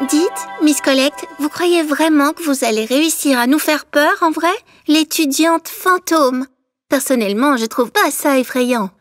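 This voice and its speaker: A woman voicing a sassy, chatty young schoolgirl in a French cartoon, cheeky and expressive. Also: high voice, measured pace, no room sound, faint music behind. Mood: skeptical.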